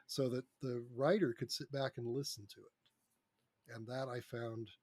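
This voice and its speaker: A man's voice: medium pitch, fairly even intonation, unhurried, darkish tone, slightly rough, no room sound. A middle-aged or older man talking conversationally as a podcast guest, telling anecdotes about his work on a close mic. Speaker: podcast guest, screenwriter and radio drama producer. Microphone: close mic on a remote podcast recording.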